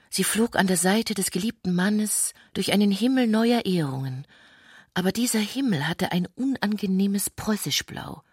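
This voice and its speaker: A woman narrating a German literary radio drama in a measured, intimate way, husky and breathy, often sinking towards a whisper. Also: on the low side, dry recording, studio quality, full character voice.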